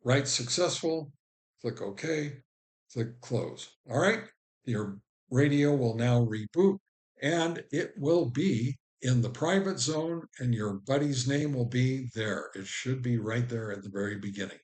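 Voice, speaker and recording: A man hosting a casual how-to video, talking informally and somewhat haltingly as he explains each step. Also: medium pitch, a little mellow. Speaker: host of a ham radio how-to channel. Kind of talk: casual tutorial narration.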